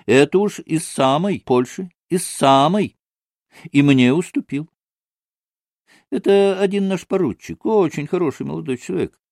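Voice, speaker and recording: Guy doing silly old gentleman from a novel, high wheedly voice, servile, giggly, swoopy. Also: animated, gravelly, dry recording, studio quality. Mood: chatty, sheepish. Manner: obsequious, drawn-out phrases, servile tags, giggling. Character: wheedling, giggly old provincial gentleman buffoon telling tales.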